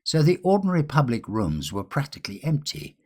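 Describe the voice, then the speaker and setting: A man voicing a gentlemanly storyteller who confides an odd memory in a conversational, clubbish manner. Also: a little high, very animated, fairly quick, pitched above the performer's own voice. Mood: brisk, reflective.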